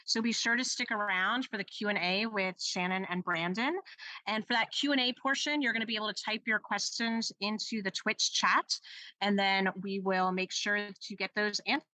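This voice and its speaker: Female event host giving introductions: crisp bright voice, mid pitch, close dry recording. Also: fairly even intonation, moderate pace, dry recording, rough recording. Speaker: event host, school librarian.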